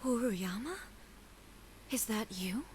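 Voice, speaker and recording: An actress playing a solemn, dignified shogun goddess in a video game, speaking in a formal, grave and measured manner. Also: female voice, a little high, heavy background noise. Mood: composed, resolute. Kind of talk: scripted video game voice acting.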